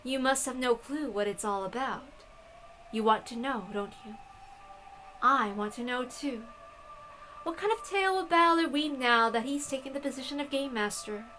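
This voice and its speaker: A woman voicing an ancient, frail, haughty witch from a visual novel, speaking quietly in measured, formal, imperious phrases. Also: sits high, soft background music, rough recording. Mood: serene, superior. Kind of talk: visual novel read-aloud.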